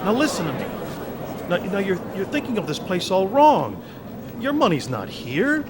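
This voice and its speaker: A man's voice: sits high, wildly swooping pitch, moderate pace, crowd noise, noisy background. An actor playing an impulsive, earnest young man in a radio play, stammering and emphatic. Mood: urgent.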